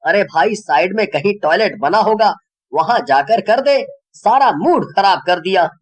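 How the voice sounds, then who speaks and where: A woman voicing a cheeky, excitable young man who loves parties, whiny and full of exclamations. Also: medium pitch, measured pace. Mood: whiny, annoyed.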